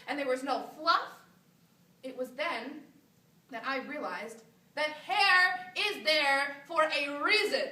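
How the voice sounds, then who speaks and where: A woman's voice: sits high, bright, forceful tone, full character voice. A woman playing a wronged wife who candidly recounts intimate marital troubles in an emphatic, confiding stage monologue. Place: stage in a hall. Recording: roomy, distant live theatre recording.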